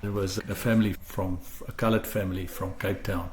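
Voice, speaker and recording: A man's voice: sits low, little pitch movement, fairly quick, a little mellow. A middle-aged man with a South African accent, a podcast co-host telling his personal story in an earnest, reflective way. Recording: echoey room with faint background noise.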